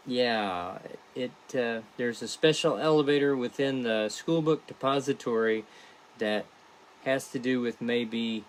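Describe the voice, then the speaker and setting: Slow-paced, halting man chatting to viewers. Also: mid-range voice, a little hiss or hum, low-quality recording. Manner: halting, rambling delivery. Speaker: podcast creator and host. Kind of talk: casual livestream chat to viewers.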